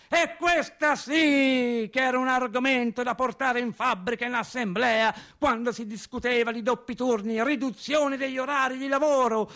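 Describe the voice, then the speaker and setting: A man's voice: extremely high pitch, fairly bright tone, exaggerated voice. A man playing an earnest, rambling working-class factory worker in a theatrical radio monologue, talking colloquially and emphatically, repeating and interrupting himself.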